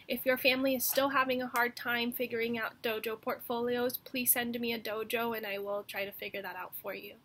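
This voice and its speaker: A woman's voice: a little high, flat delivery, fairly quick, hardly any pauses, a bit bright. A young woman teacher reading a storybook and giving a lesson to children. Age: young adult. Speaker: elementary school teacher.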